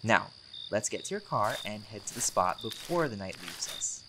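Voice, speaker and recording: Man acting as laid-back male vampire, playful, charming, teasing, animated intonation, casual audio roleplay, distinct cricket noise in background. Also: fairly low pitch, normal speed. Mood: easygoing, intrigued. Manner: joking, teasing, often chuckling. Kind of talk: audio roleplay, casual one-sided chat to a friend.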